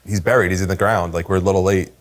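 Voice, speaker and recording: A man's voice: low-pitched, rapid-fire. A man giving casual sales training, talking emphatically and informally and tagging "right?" onto his points. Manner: emphatic, animated, tags "right?" often; slangy and informal.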